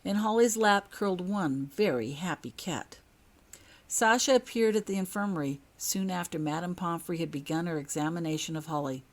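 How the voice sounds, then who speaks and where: A woman's voice: fairly low pitch, moderate pace. A woman narrating a scripted story as an audiobook, reading her own fiction.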